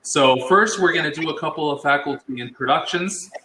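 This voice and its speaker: A man's voice: a little high, fairly quick, bright, forceful tone, roomy sound, a little hiss or hum. A man professor hosting an online college workshop, talking in a friendly way with some hesitant fillers. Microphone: video call.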